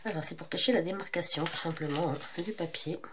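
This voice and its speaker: A woman's voice: lowish voice, brisk, background noise. A woman with a French accent hosting a crafting tutorial while she has a cold, talking casually and hesitantly, with many fillers and self-corrections. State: congested with a cold. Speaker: crafting channel host.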